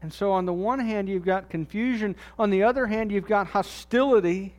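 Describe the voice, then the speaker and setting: A man with a notably high voice delivers a sermon in an easy, conversational manner. He often sinks low and quiet to land a key phrase. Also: normal speed. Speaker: pastor.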